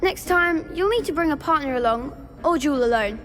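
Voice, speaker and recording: A young woman playing a confident schoolgirl who runs a dueling club in a fantasy game, chirpy and brisk. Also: high-pitched, animated, over music. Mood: eager, confident. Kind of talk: scripted video game acting.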